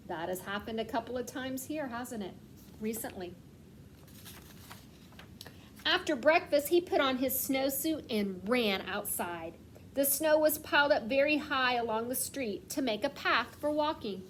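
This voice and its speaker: High voiced lady doing a kindergarten read-aloud, asks the kids questions, noticeable background noise. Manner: asks questions and pauses as if children answer; expressive storytelling with slowed, emphasised words. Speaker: kindergarten teacher reading aloud. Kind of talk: picture-book read-aloud for young children.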